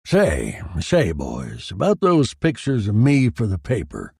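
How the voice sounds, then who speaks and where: A man's voice: fairly low pitch, huge pitch swings, takes it slowly, creaky, darkish tone, slightly rough, no echo, clean studio sound, heavily acted. A man playing a flustered, blustering police detective in a dramatised story, complaining as he loses control. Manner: complaining, grasping for reasons. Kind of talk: dramatised short story reading.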